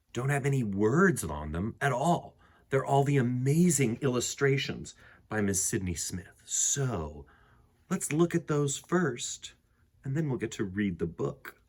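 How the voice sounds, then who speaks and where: A man reading a picture book aloud to children, gently addressing his young listeners with a husky voice. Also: medium pitch, very animated, slow pace. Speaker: storyteller, picture book reader.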